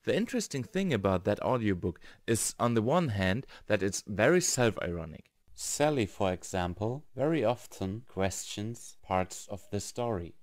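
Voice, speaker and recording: A man's voice: on the low side, expressive, moderate pace, darkish tone, dry recording, clean studio sound. A man narrating a scripted review in English as the host. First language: German.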